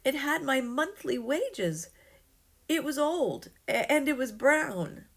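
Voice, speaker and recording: A woman's voice: sits high, sing-song, slow pace, creaky, voice pushed up, exaggerated voice. A woman voicing an emotional old man in a children's storybook, speaking in urgent exclamations. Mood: distressed, desperate.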